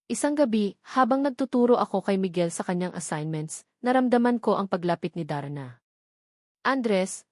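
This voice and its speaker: A woman's voice: medium pitch, a little mellow, no echo, studio quality. A woman reading a scripted first-person romantic drama as a storyteller. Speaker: story narrator.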